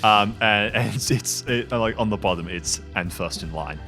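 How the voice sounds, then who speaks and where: Casting: low-voiced man as game master, casual halting improvised narration, music playing underneath. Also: pushed and bright. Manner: halting, improvising item descriptions aloud. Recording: music behind the voice.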